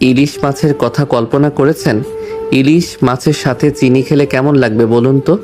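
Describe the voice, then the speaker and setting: A man's voice: mid-range voice, steady tone, normal speed, music in the background, natural voice. A man playing a flustered, polite young male office employee in an audio romance story. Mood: earnest rambling. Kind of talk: audio story dramatized acting.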